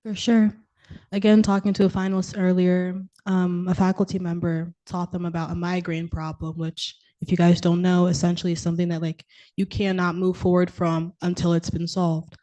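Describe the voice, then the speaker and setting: Woman interviewer, mellow low voice, pretty flat, casual, says cool a lot. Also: moderate pace. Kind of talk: asking interview questions.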